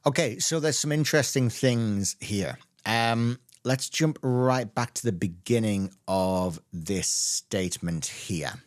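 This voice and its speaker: Low-voiced man teaching grammar. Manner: patient, slows down on key words. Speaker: English teacher. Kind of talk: explaining grammar to camera.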